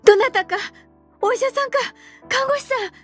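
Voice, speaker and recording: A woman playing an anxious, pleading passenger in a radio drama, urgent and halting as she calls out, with faint noise behind. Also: very high voice, soft background music, voice pushed up.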